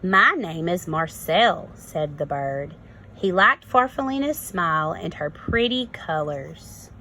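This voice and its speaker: A woman reads a picture book like a kindergarten teacher, stopping to explain things in simple terms. Her pace is slow and her voice often falls into creak. The recording carries faint noise. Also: fairly low pitch, fairly bright tone, rough recording. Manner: simple explanations addressed to young children. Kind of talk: storybook read-aloud with teaching asides.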